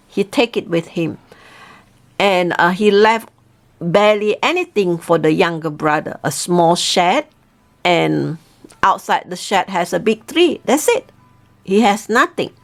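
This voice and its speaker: A woman teacher telling a story informally to students, often checking in with her listeners. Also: mid-range voice.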